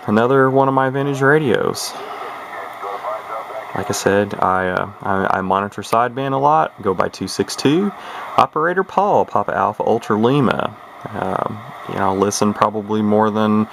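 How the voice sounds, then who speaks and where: A man's voice: on the low side, vocal fry. A man casually showing off an old CB radio on video, rambling and hesitant with lots of fillers and restarts. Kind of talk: casual show-and-tell video. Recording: noisy home video with radio hiss.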